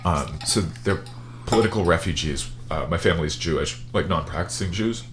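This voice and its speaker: A man's voice: sits low, brisk, a bit bright, a little hiss or hum. A man in his thirties, chatting casually as a podcast guest, laid-back and laughing easily. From Rochester, New York, US. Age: 30s. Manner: laid-back, laughs often, loose and rambling. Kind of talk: casual chat.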